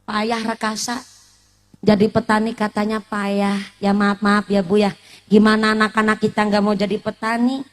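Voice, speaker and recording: A woman's voice: mid-range voice, normal speed. A woman in her late twenties giving a humorous sermon, teasing the congregation with questions and mixing in regional words. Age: late 20s. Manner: lively, teasing, asks the audience questions, mixes in Javanese and Sundanese words. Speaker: preacher.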